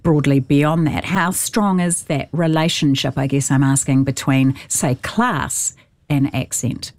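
Middle-aged female interviewer with a New Zealand accent, low voice, chatty and self-deprecating, dry studio sound. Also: steady tone, a little slow, a little mellow, dry recording. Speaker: radio interviewer. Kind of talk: conversational interview.

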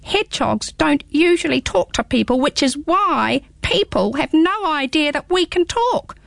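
A prim little storybook hedgehog, as a woman puts him on, talking in a high, lively squeak. The voice is chatty and fussy and swoops a lot. It sounds close and dry. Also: a little slow, vocal fry, no room sound, heavily acted. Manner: talkative, prim, rambling. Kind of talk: storybook read-aloud.

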